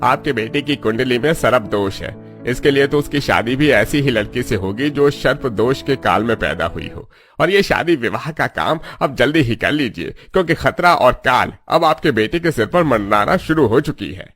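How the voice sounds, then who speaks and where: A man's voice: mid-range voice, lively intonation, normal speed, a bit bright, faint music behind. A man playing a grave astrologer priest in a moral story, solemn and foreboding. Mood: ominous.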